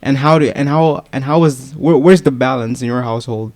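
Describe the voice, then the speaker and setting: A man's voice: mid-range voice, a little fast, a little mellow, no echo. A man hosting a podcast interview, often repeating words as he asks questions. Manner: stammering, repeats words while asking. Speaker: podcast host.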